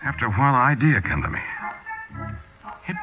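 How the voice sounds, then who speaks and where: An actor playing a hard-boiled American small-time crook, narrating colloquially and confidingly in a 1940s radio drama. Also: male voice, mid-range voice, sing-song, brisk, music in the background, natural voice. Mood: brooding, uneasy. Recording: dull 1940s radio broadcast recording.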